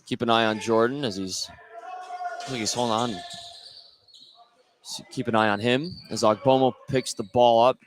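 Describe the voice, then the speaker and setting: A man's voice: medium pitch, steady tone, moderate pace, long pauses, a little hiss or hum. A man doing live play-by-play radio commentary of a basketball game.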